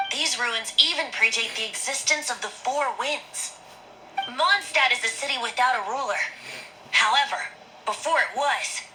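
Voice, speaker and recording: A woman's voice: a little high, bright, forceful tone, a little hiss or hum, rough recording. A woman voicing a whimsical, youthful male bard in an anime fantasy game, theatrical and breezy like a storyteller.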